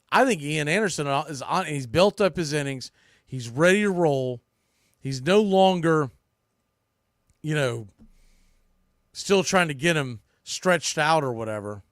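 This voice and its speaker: A man's voice: on the high side, animated, takes it slowly, long pauses, dry recording, studio quality. A man hosting a baseball podcast, giving off-the-cuff preview commentary and thinking aloud as he corrects himself.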